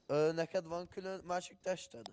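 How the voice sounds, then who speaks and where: High-pitched man chatting in a game. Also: fairly even intonation, a little mellow. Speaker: fellow player in voice chat. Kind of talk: casual in-game chat.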